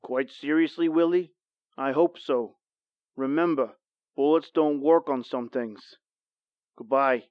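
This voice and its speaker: An actor playing a wry, world-weary painter with a morbid wit, in a theatrical old-time radio horror style. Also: male voice, medium pitch, unhurried, long pauses, clear, resonant tone, highs cut off, close to an everyday voice. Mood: darkly teasing.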